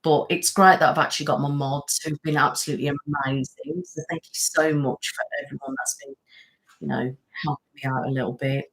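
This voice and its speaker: A woman's voice: deep voice, expressive, a little fast, fairly bright tone, low-quality recording. Rambling, chatty woman. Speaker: live-streaming channel host. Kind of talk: casual chat, reading viewer comments. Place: home.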